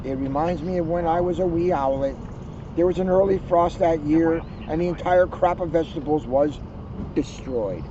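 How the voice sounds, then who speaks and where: A sage old storybook owl played by a man, formal and measured. The recording is slightly muffled, with clear outdoor noise. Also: on the high side, close to an everyday voice. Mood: reminiscing.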